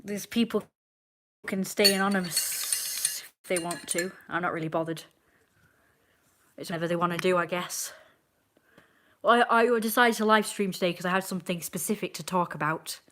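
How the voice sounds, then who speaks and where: Twenties woman rambling on her live stream, lowish voice, answering chat comments. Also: moderate pace, pauses often, background noise. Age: 20s.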